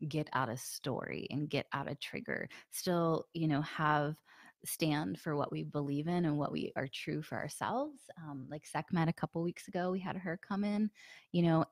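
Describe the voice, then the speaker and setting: A woman with a low voice leads a guided meditation, her throat still a little sore and hoarse. She talks softly with plenty of fillers and slips into a breathy near-whisper. The sound is close and dry, with no room around it. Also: no room sound. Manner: soft, relaxed, many fillers. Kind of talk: guided meditation with a live group.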